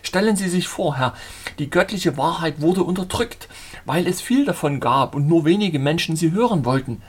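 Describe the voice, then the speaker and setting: A man's voice: a little high, expressive, normal speed, slightly rough, voice pushed up. A man voicing a ranting zealot preacher. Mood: fervent ranting. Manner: shouting, declamatory.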